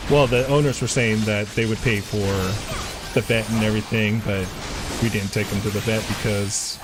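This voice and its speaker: A man's voice: fairly low pitch, fairly even intonation, moderate pace, over music, low-quality recording. A man talking casually and matter-of-factly while live streaming a video game, using slangy everyday speech.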